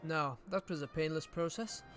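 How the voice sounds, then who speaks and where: A polite adventurer heroine asks questions in measured, formal phrasing, read by a man. The voice sits somewhat high, with faint game music underneath. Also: close to an everyday voice.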